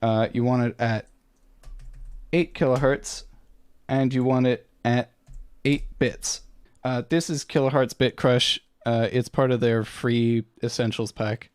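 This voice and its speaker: A man's voice: mid-range voice, steady tone, unhurried, smooth, no echo. A man presenting an audio-production tutorial in an informal, matter-of-fact, slightly tentative way.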